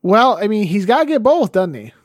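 A man's voice: sits high, very animated, brisk, dry recording, clean studio sound. A man in his late twenties co-hosting a casual chat, thinking aloud and rambling with restarts as he brainstorms. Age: late 20s.

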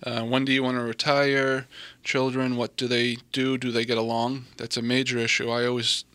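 An American man speaks in a flat, fairly unvarying line with a bright, firm tone and a dry, close sound. He explains things informally and matter-of-factly, like a professional answering interview questions. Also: mid-range voice, moderate pace, clear, resonant tone, dry recording. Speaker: estate planning lawyer, interview guest. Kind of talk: conversational expert explanation.

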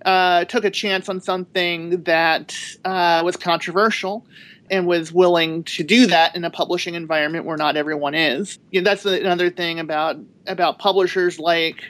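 A woman's voice: fairly low pitch, steady tone, moderate pace, a bit bright. A woman author talking as a podcast guest in a thoughtful, rambling way, with frequent restarts. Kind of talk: casual interview talk.

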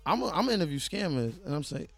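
A man's voice: a little high, sing-song, rapid-fire, vocal fry, faint music behind. Brooklyn man talking loose and profane. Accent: New York. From Brooklyn, New York. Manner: loose, profane street slang with many restarts. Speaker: guest, podcast host. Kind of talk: casual podcast conversation.

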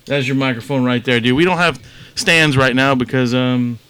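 A man's voice: medium pitch, normal speed, fairly bright tone. A man hosting a podcast in casual, profane banter, teasing the people he talks with. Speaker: podcast host.

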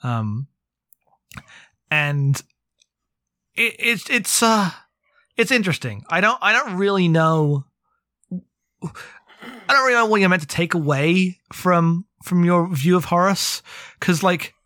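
A podcast co-host talking casually and informally about a book, animated and given to swearing. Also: mid-range voice, takes it slowly, pauses often, dry recording, clean studio sound.

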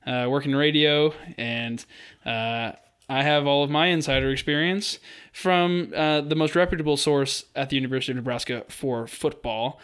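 A man's voice: medium pitch, steady tone, moderate pace, a bit bright, clean tone. A man in his twenties co-hosting a casual sports podcast, laid-back and joking, with an unpolished delivery full of fillers. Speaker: podcast co-host.

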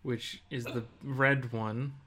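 Man in casual chat, medium-pitched voice. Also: level tone, slow, bright, forceful tone, slight background noise. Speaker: podcast co-host. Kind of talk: casual video game podcast chat.

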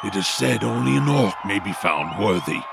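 A man's voice: lowish voice, lively intonation, moderate pace, gravelly. An actor playing a proud, solemn male orc warrior in an audio drama, speaking formally and declaiming in awe. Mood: awed reverence. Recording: loud music and crowd behind.

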